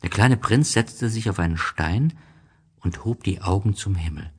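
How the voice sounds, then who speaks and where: A man narrating a storybook from a script in an expressive, deliberate storytelling manner. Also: sits low, husky.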